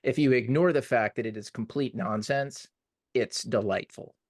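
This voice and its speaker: A man's voice: mid-range voice, moderate pace, a little mellow, no room sound, clean studio sound. A man co-hosting a podcast, chatting casually and jokingly with a wry, playful manner. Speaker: podcast co-host. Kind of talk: casual joking fan chat.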